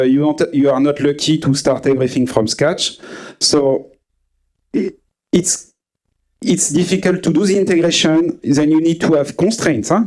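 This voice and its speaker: A man in his forties from France with a French accent, giving a conference talk to a live audience, pausing often with fillers and restarts. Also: a little high, darkish tone. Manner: hesitant, many uh fillers and restarts. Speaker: conference speaker.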